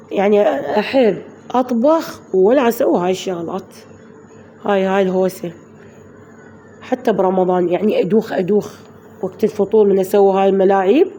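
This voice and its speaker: Hoarse woman, Iraqi accent, very dark mellow voice, quick chatty narration. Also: medium pitch, slight background noise. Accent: Iraqi Arabic. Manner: chatty, addresses viewers as girls. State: hoarse from flu. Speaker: vlogger. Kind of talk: daily-routine voice-over narration.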